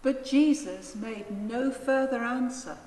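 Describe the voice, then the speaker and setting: A woman narrating a dramatised scripture reading in a solemn, deliberate manner. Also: fairly high pitch, dark tone. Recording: echoey church, long reverb, faint noise.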